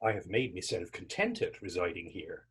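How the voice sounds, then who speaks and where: Man acting husky, teasing young Irish drifter. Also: deep voice, sing-song, moderate pace, heavily acted. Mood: defensive, wistful. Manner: teasing, lilting folksy phrasing. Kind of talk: stage readers theater dialogue.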